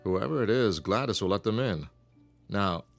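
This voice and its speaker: Stern patrician father for radio drama: middle-aged, low voice, formal authority, music underneath. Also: moderate pace, gravelly. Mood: calm, coaxing. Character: stern, wealthy, protective father of a grown daughter.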